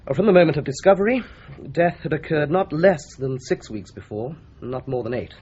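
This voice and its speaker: Formal, animated male pathologist. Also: on the high side, brisk, husky. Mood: clinical. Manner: formal, careful. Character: clinical, exact male police pathologist. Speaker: actor in a radio drama. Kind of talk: 1980s radio drama acting. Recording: dull, noisy 1980s radio recording.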